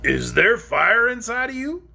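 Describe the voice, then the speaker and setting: A woman's voice: a little high, big pitch swings, a little slow, creaky, bright, forceful tone, pitched above the performer's own voice, heavily acted. A woman voicing a loyal, inquiring man in a storybook reading. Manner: inquiring, protective.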